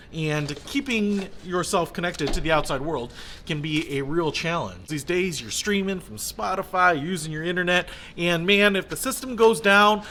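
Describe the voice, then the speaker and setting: A man with a fairly high, very bright voice walks through a product hands-on. He speaks casually and with enthusiasm, using plain colloquial phrasing as he explains features to the viewer. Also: slight background noise. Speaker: product reviewer.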